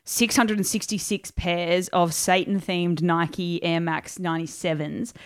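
A young woman co-hosting a casual pop culture podcast, chatty and quick to laugh, with lots of fillers. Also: fairly low pitch, steady tone, clean tone, dry recording, clean studio sound. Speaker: podcast co-host. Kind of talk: casual chat. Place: home studio at night.

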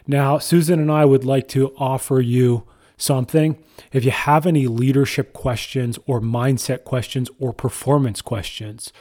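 A man's voice: mid-range voice, fairly even intonation, normal speed, warm tone. A man co-hosting a podcast, reading an enthusiastic intro and chatting casually with guests, from Canada. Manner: enthusiastic, repetitive emphasis. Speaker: podcast co-host.

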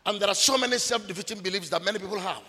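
A man preaching a sermon, emphatic and repetitive, at times breaking into shouts. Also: high voice, racing. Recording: long reverb of a large hall.

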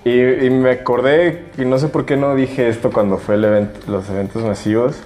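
A man's voice: mid-range voice, fairly even intonation, a little fast, darkish tone. Mexican man chatting over noticeable background music. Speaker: podcast co-host. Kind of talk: casual podcast chat, anecdotes.

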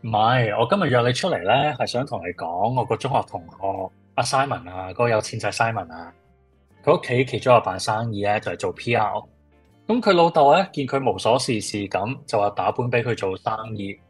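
A man's voice: fairly low pitch, expressive, brisk, husky, soft background music. A man playing a blunt, teasing, easygoing old friend in a radio drama, with a Hong Kong Cantonese accent. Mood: persuasive.

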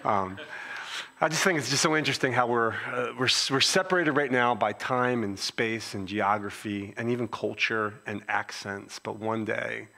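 Bright-voiced Philadelphia pastor preaching. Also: male voice, medium pitch, normal speed, creaky. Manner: warm, conversational preaching with frequent restarts. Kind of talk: sermon introduction. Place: church sanctuary.

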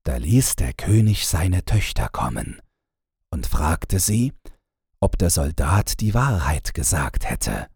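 A man narrating a scripted fairy tale as an audiobook, in a measured storytelling manner. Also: low voice, sing-song, vocal fry, darkish tone, breathy edge, no room sound, studio quality.

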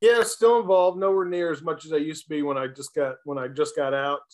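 Fairly high-voiced man telling casual stories. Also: steady tone, brisk. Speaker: podcast guest, former baseball player. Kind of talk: casual chat, storytelling.

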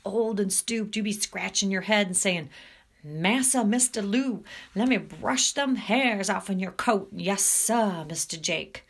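A woman's voice: medium pitch, unhurried, creaky, slightly rough. A woman voicing a muscular, swaggering teenage male bully with a taunting, jeering manner in a storybook reading. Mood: jeering imitation.

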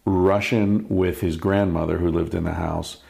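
A man's voice: sits low, steady tone, moderate pace, gravelly, warm tone. A man hosting a podcast in casual chat, telling stories with jokes and swearing. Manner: joking, profane storyteller. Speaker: podcast host.

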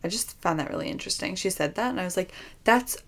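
A woman's voice: lowish voice, expressive, quick pace, vocal fry. A young woman co-hosting a podcast in casual chat, talking loosely with lots of "like" fillers. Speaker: podcast co-host.

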